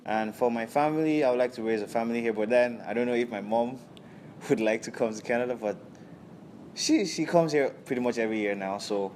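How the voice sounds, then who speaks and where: Young man from Nigeria, relaxed. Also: medium pitch, a little hiss or hum. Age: young adult. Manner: relaxed, tags sentences with "right?". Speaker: interviewee, university student. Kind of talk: casual interview answers.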